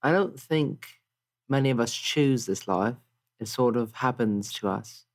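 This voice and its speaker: Man playing a gentle, wistful pirate crew member. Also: mid-range voice, expressive, unhurried, gravelly. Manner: soft-worded, polite, reflective.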